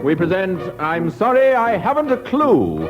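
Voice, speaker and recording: British male announcer over loud music. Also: high-pitched, very animated, moderate pace. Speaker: radio announcer. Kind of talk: scripted radio show introduction. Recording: dull old radio recording, loud music.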